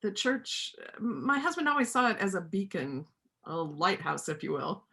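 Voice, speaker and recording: A woman acts out a stern rural veterinarian in a stage play reading. Her pitch moves in a lively way, yet the words are terse and matter-of-fact. She comes across as practical and unsentimental. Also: normal speed, vocal fry. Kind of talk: readers' theater play acting.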